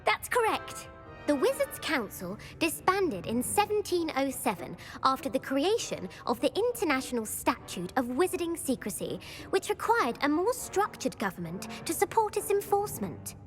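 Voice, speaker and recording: A woman's voice: sits high, very animated, normal speed, faint music behind. A woman playing an eager, bookish schoolgirl quizmaster in a video game, precise and enthusiastic. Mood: enthusiastic, instructive. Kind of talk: video game character acting.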